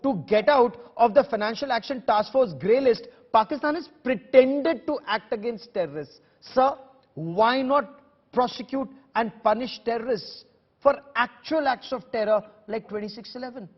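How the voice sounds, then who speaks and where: Male Indian-accented debate host, very high pitched, combative, in dull reverberant sound. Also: moderate pace, husky. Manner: combative, emphatic questioning with rhetorical repetition. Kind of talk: broadcast debate moderating.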